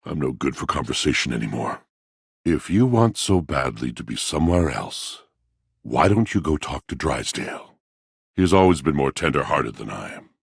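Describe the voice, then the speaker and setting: A man playing an aging, lovelorn washing machine in a video game, with a gravelly voice and a solemn, theatrical, flowery manner. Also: no room sound, studio quality, exaggerated voice. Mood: heavy, brooding. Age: older. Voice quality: deep, gravelly. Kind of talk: video game character acting.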